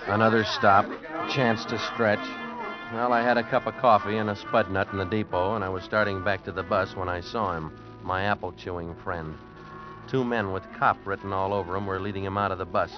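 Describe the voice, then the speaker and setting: A man's voice: low-pitched, steady tone, a little fast, close to an everyday voice. An actor playing a world-weary, broke actor who narrates his story in a wry, hard-boiled radio drama style. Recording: muffled vintage radio recording, music behind.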